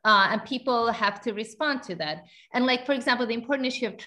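A woman's voice: a little high, fast talker, pushed and bright. A woman from Finland in her forties, a researcher answering a panel question over a video call, fluent and explanatory with light fillers. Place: indoor room. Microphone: video call webcam.

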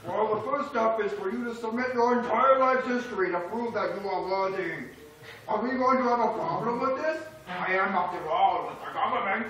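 A man's voice: sits high, moderate pace, sharp tone. A man voicing a smug, officious millipede demon who works as a government bureaucrat, in a live puppet show. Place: small indoor puppet stage. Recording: echoey room from far off with noise.

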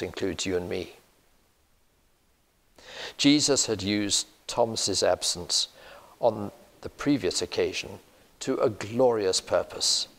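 An older man with a British accent preaching a measured, earnest sermon, recorded in a church on a lapel mic. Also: fairly low pitch, vocal fry. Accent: British English. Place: church sanctuary, indoors. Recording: long church reverb.

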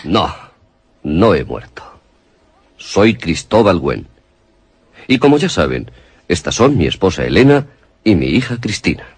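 A masked radio-drama villain with a very deep, gravelly voice. He is menacing and mock-courteous, swinging widely in pitch as he threatens with deliberate care. The recording is close and dry. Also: big pitch swings, no echo, full character voice. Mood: proud, revealing. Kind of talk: Spanish-language radio horror acting.

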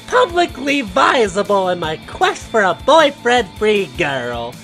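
A woman's voice: a little high, a bit bright, heavily acted. A woman voicing an indignant young male hedgehog hero, declaiming earnestly in an amateur comic dub. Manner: declamatory, earnest speech-making.

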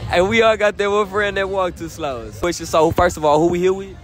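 A man's voice: sits high, a little fast. A young man doing casual, joking street interviews at a fairground at night into a handheld phone mic. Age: young adult. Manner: joking, laughing, slangy banter. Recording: loud fair music and crowd. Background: music and crowd of the fair.

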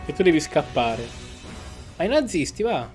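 A man's voice: a little high, big pitch swings, measured pace. A young man giving casual reaction commentary over soundtrack music. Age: young adult. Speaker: reaction video host. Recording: music playing behind. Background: animation soundtrack music.

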